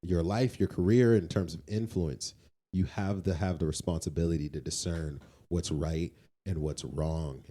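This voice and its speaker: A man's voice: rumbling low, warm tone. A man co-hosting a podcast, giving conversational opinions on faith in an emphatic, moralizing way and repeating points for weight. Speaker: podcast co-host.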